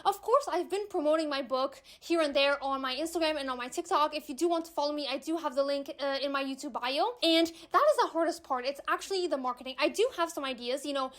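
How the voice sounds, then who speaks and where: A young woman with a high voice talks to camera in a relaxed, candid way. Portuguese is her first language, and she leans on fillers and emphatic asides as she speaks. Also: fast, hardly any pauses. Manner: chatty, candid, emphatic with frequent "you know" fillers. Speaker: vlogger, aspiring author. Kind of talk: casual chat to camera.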